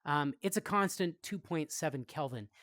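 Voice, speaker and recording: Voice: mid-range voice, lively intonation, moderate pace, fairly bright tone, dry recording, studio quality. A talk show host presenting in a playful way, with theatrical announcer flourishes.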